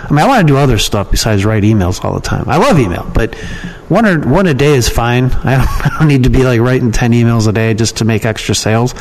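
A man's voice: mid-range voice, expressive, quick pace, a little hiss or hum, rough recording. A man giving casual, rambling and frank answers as an opinionated guest in an interview. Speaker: podcast guest, email marketer and copywriting trainer.

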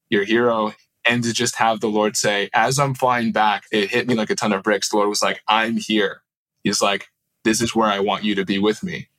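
A man's voice: on the low side, a bit bright, no room sound, rough recording. A man telling personal stories casually and earnestly as an interview guest. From born in Southern California, grew up in Turkey. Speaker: podcast guest, aerospace founder.

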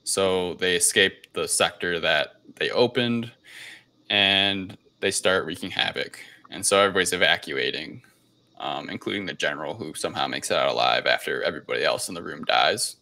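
A man's voice: low-pitched, normal speed, pushed and bright. A man hosting a podcast, recapping a film in a casual, hesitant, loosely rambling way. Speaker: podcast host.

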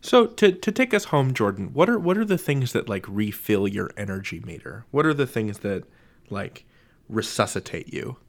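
Male interviewer, wry and conversational. Lively swooping intonation, vocal fry, emphatic drawn-out phrasing. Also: lowish voice. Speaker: podcast host, interviewer. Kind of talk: casual, analytical interview chat.

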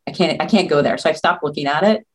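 A woman's voice: deep voice, fast, slightly rough. A woman, a nursing leader, speaking as a guest on a video call, earnest and talking in long run-on thoughts. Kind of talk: casual interview chat.

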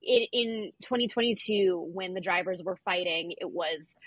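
A woman's voice: fairly low pitch, normal speed, slightly rough, dry recording, rough recording. A woman co-hosting a podcast, talking about sports in an informal, conversational, emphatic way. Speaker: podcast co-host. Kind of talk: casual sports chat.

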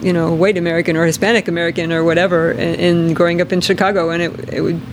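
A man, the author at a book event, talking in a relaxed, thoughtful way. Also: a little high, rapid-fire, background noise. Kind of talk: book talk conversation. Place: bookstore event.

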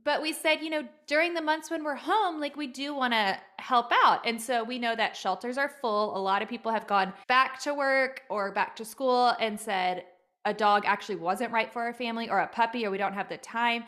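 A woman's voice: a little high, normal speed, fairly bright tone. A woman in her thirties co-hosting a podcast, telling a story in an agreeable, chatty way. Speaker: podcast co-host. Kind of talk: casual chat.

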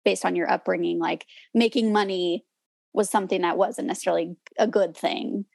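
A woman hosting a casual podcast, gently prompting her friends and recalling shared memories. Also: lowish voice, fairly even intonation, normal speed, creaky, no room sound. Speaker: podcast host.

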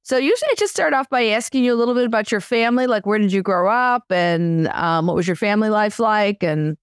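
A woman hosts a podcast in a chatty, encouraging way and wanders into side stories. Her voice is smooth and clear at a middle pitch. It is recorded close and dry. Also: animated, fast talker, no echo. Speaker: podcast host. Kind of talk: casual interview chat.

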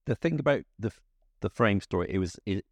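A man's voice: lowish voice, expressive, fairly quick, no room sound. A man hosting a podcast interview, asking questions and giving brief encouraging prompts.

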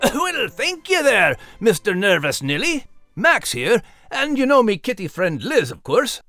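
A man's voice: high voice, wildly swooping pitch, measured pace, bright, forceful tone, no room sound, clean studio sound, soft background music, voice pushed up, full character voice. A man voicing a cheery, teasing Scottish dog in a children's story podcast, with a hearty, folksy manner.

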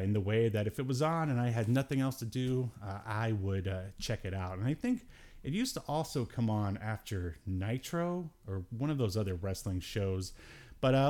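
A man's voice: on the low side, darkish tone. A man in his forties hosting a podcast, chatting casually about films in a rambling way with wry asides. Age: 40s. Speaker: podcast host. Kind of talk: casual film review chat.

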